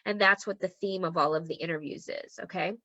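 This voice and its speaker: Woman teaching a group online, voice kinda worn out, bright and a bit high, dry muffled sound, keeps saying okay?. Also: steady tone, a little fast, no room sound. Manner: chatty and encouraging, frequent "okay?" check-ins. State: losing her voice. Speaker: human design teacher.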